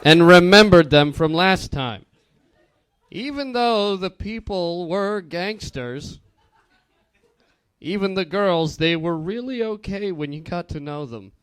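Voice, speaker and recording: High-voiced man reading slowly and clearly. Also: expressive, fairly bright tone. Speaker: comedic reader on a stage show. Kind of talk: dramatic comedic reading of fan fiction.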